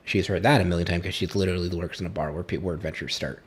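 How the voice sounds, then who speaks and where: Husky low-voiced man running a game. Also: steady tone, racing. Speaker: game master. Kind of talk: running a streamed tabletop fantasy game.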